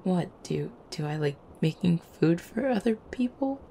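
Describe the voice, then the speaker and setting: Low, creaky-voiced affectionate girlfriend played by a woman. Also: expressive, normal speed, a little hiss or hum. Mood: casual, affectionate. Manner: soft-hearted, teasing, hesitant little stammers and chuckles. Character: affectionate, playful, gently teasing young vampire girlfriend. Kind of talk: intimate ASMR girlfriend roleplay, acted as casual chat.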